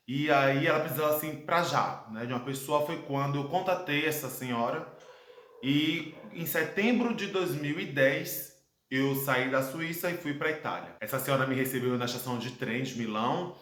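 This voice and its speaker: A man in his thirties from Brazil with a Brazilian Portuguese accent, recounting his life abroad as a personal testimony, earnest and reflective, with dramatic pauses. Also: a little high, fairly bright tone. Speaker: vlogger telling his life story. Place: his home, indoor room. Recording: echoey room.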